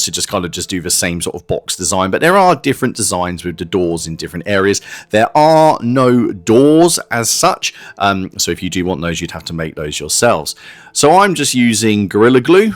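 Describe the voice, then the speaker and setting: A man's voice: deep voice, no room sound. A man presenting a hobby kit review in a casual, chatty, informal way with a self-deprecating streak. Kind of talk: casual hands-on product review narration.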